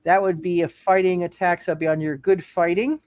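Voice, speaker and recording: A man narrating a tabletop game as game master, improvising in a halting way and repeating himself. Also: high-pitched, fairly even intonation, darkish tone, dry recording.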